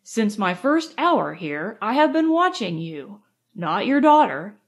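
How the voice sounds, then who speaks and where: A woman voicing a calm, stern male doctor. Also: medium pitch. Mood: lecturing. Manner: firm, measured, lecturing.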